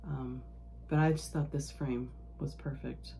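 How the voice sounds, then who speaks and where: A woman with a low-pitched voice chats casually as she shows her handiwork. She sounds under the weather and sniffly, rambling along in a halting, homespun way. There is clear background noise on the home recording. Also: steady tone, mellow, poor audio. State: sick and sniffling. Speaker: needlework vlog host. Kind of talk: casual show-and-tell chat.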